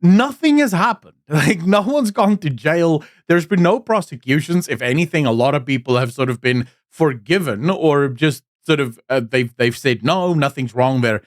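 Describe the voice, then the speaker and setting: A man's voice: on the high side, animated, normal speed, few pauses, no room sound, studio quality. A South African man giving blunt, opinionated commentary on politics straight to his viewers. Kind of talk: casual commentary to camera. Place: Cape Town.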